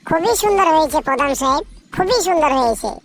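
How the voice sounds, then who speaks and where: A performer voicing a cheerful, polite talking bird in a cartoon, with a childlike high-pitched voice. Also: expressive, quick pace, heavy background noise. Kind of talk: cartoon dialogue.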